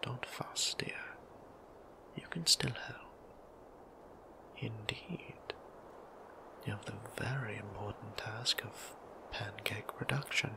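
Brief: male actor as a tender, old-fashioned vampire priest; low-pitched, unhurried, whispered ASMR roleplay. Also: fairly even intonation, low-quality recording. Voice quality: soft whisper, gravelly. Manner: formal, old-fashioned phrasing, gently teasing. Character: gentle formal male vampire priest, doting.

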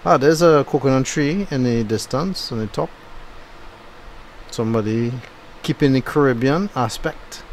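A man with a Trinidadian accent giving a low-key, unenthusiastic running commentary while driving. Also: a little slow, slight background noise. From Trinidad and Tobago. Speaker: driving vlogger. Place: inside a moving car.

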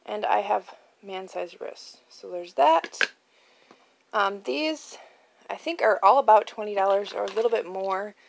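Creaky-voiced woman chatting through craft items. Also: medium pitch, a little slow, a little hiss or hum. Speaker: craft channel host previewing auction items. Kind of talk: casual chatty show-and-tell. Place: indoors at home, at a card table. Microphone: handheld phone.

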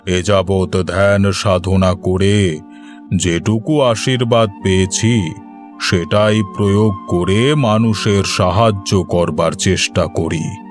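A kindly, humble tantric holy man in a cartoon tale, played by a man. He speaks slowly in a measured, low voice with music behind. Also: steady tone. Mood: humble calm. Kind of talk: cartoon story dialogue.

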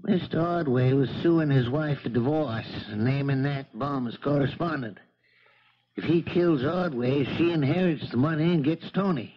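A man's voice: mid-range voice, normal speed, close to an everyday voice. A man acting an old charter boat captain in a 1970s radio drama, folksy and plain-spoken, shaken and indignant. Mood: bitter, defensive. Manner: folksy, ungrammatical working-man speech. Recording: muffled vintage radio broadcast recording.

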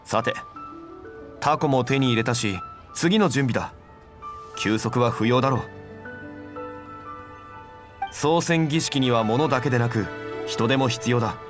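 A man playing a composed, scholarly gentleman in an anime game, speaking formally and with measured dignity. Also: medium pitch, over music, close to an everyday voice. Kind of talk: anime game character acting.

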